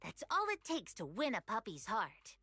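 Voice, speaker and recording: A woman's voice: a little high, wildly swooping pitch, normal speed, pushed and bright, slightly rough, no room sound, heavily acted. A woman voicing a cheerful, kindhearted pet shop clerk in a video game, friendly and earnest. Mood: earnest, kind.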